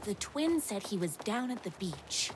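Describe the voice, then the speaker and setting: A woman's voice: mid-range voice, big pitch swings, unhurried, background noise. A woman playing a feisty, lovestruck fan turned heroine in a game, acting and narrating with earnest, indignant melodrama. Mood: dramatic narrating. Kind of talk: hammy game acting with first-person story narration.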